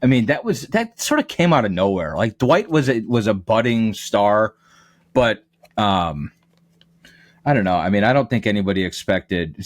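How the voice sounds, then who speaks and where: A man's voice: sits low, no echo, studio quality. A man giving conversational sports analysis as a podcast guest. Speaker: radio play-by-play announcer, podcast guest.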